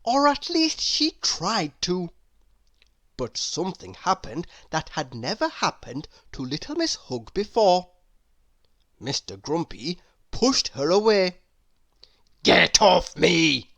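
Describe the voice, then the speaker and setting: A woman narrating a children's story in an expressive, emphatic read-aloud style. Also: fairly low pitch, slow, no room sound, clean studio sound. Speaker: storybook reader.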